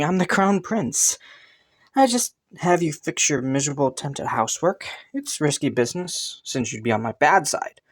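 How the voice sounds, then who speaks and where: A man playing a haughty, teasing young crown prince in a romantic fantasy audio roleplay, suspicious yet intrigued, with a smug manner. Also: on the high side. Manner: teasing, smug, chuckling and sighing between phrases.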